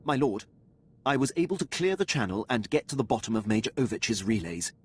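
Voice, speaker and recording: An actor playing a dutiful, loyal military officer in a video game, speaking formally and with precise, clipped diction. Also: male voice, mid-range voice, sing-song, a little fast, slightly rough. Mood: businesslike, urgent. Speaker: starship captain serving a lord.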